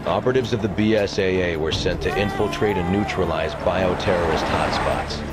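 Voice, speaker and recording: An actor playing a grave, world-weary soldier, narrating a game cutscene in a measured way. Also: male voice, low-pitched, over loud music, close to an everyday voice. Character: grave, world-weary male soldier recounting bioterror history.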